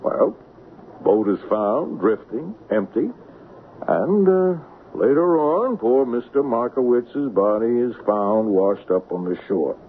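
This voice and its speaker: An actor playing a smug, persuasive American swindler in a radio drama, coaxing in a conversational way. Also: male voice, medium pitch, animated, slow pace. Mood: smug, cold. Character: fast-talking male swindler, smug, persuasive schemer. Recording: tinny, noisy old radio broadcast.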